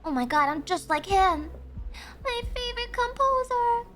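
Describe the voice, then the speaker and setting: A woman streamer chatting casually and thinking aloud, excitable, with frequent gasps and laughs. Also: very high-pitched, unhurried. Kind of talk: casual livestream chat.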